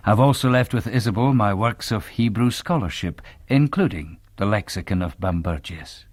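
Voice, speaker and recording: Radio drama lead: grave young Scottish clergyman male actor, lowish voice, melancholy, very dry sound. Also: moderate pace, dry recording, lightly acted. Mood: grave, formal. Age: young adult. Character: young Presbyterian minister, grave, devout and melancholy. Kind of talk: radio drama acting, reading letters and journal.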